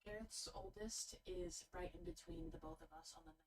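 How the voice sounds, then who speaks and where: A woman's voice: on the low side, fairly even intonation, normal speed, far from the mic, low-quality recording. Young woman, farther from the mic. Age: young adult. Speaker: co-host reading chat. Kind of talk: casual chat, reading out stream comments.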